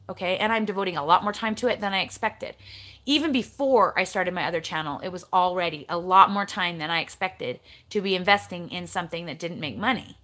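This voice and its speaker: A woman in her forties with a raspy voice, chatting casually to the camera as she answers viewer comments and rants a little, recorded up close in her bedroom. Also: mid-range voice. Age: 40s. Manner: animated, ranty. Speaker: vlogger. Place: bedroom at home, indoors. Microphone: camera close in front.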